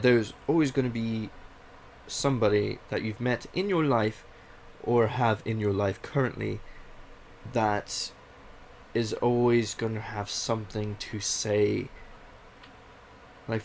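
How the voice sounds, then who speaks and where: Man, young adult, from Canada, British-Canadian accent mix, gravelly voice, casual monologue. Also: fairly low pitch, fairly even intonation, measured pace, a little hiss or hum. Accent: British mixed with Canadian. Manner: candid, rambling, self-deprecating. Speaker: podcast host.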